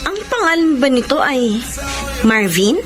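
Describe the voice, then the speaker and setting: A woman's voice: high voice, big pitch swings, measured pace, over music. A woman playing an earnest, self-assured young student in a radio drama, with a Filipino accent. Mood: cheerful. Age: young adult. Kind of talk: radio drama acting and narration.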